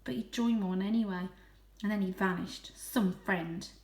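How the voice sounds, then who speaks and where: Woman voicing a gruff, snappish female street cat. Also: medium pitch, steady tone, moderate pace, a little mellow, echoey room, lightly acted. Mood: bitter, guarded. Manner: curt, scornful.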